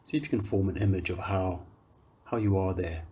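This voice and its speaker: A man guiding a meditation, speaking calmly and gently in unhurried phrases with long pauses. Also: deep voice, breathy edge. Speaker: meditation guide, yoga teacher. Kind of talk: guided meditation, slow soothing instruction.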